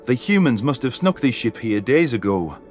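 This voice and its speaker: A man's voice: mid-range voice, sing-song, moderate pace. A man reading scripted first-person science fiction narration as an audiobook narrator. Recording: music behind the voice. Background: music.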